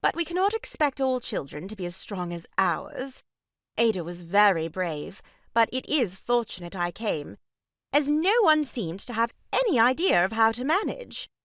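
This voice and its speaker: Self-important sister-in-law, sharp-tongued, brisk, lively high-ish pitch, dry studio sound, stage farce. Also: female voice, no echo. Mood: brisk, bossy. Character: bossy, gushing married sister-in-law, sharp-tongued matron. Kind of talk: stage farce audio drama acting.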